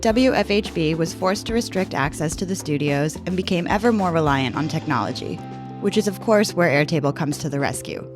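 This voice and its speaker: Woman podcast host, lowish voice over music. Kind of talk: scripted introduction and interview hosting.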